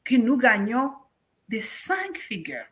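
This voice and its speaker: A woman's voice: on the high side, huge pitch swings, takes it slowly, a crowd in the background. A woman from Congo, a business coach speaking emphatically and persuasively in a casual interview. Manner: emphatic, persuasive, rhetorical questions, mixes in English phrases.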